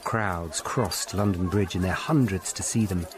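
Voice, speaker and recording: An actor playing a grave, observant Tudor lawyer who narrates his own story in measured, literate phrases for a radio drama. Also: sits low, background noise. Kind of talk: scripted first-person radio drama narration.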